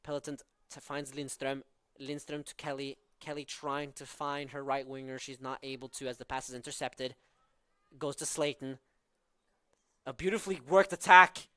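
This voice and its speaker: A man's voice: mid-range voice, fairly even intonation, moderate pace, pushed and bright, clear, resonant tone, no echo. A young man from southern Norway with a Norwegian accent, calling live college soccer with tactical analysis and frequent fillers. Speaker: play-by-play commentator.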